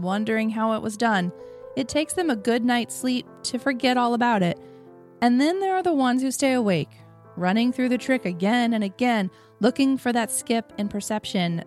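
A woman with a Southern US accent reading the part of a cynical, clever young male criminal in a sardonic, deliberate way. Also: on the high side, natural voice.

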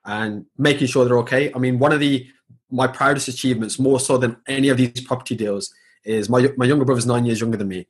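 A man speaks quickly as a podcast guest, at a mid pitch. He sounds reflective and sincere and keeps things conversational. He often uses "like" and "um" as fillers. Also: steady tone, slightly rough. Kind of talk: casual interview chat.

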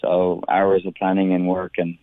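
A man talking as a caller on a radio show, upbeat, rattling things off in quick runs. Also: deep voice, completely flat delivery, dry recording. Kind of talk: phone interview. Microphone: telephone. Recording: phone line.

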